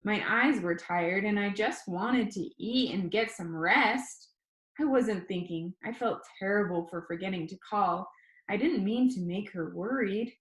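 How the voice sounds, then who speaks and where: A woman reading a children's novel aloud like a teacher, in an informal, expressive way. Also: medium pitch, measured pace. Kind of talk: storybook narration read aloud. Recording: echoey room.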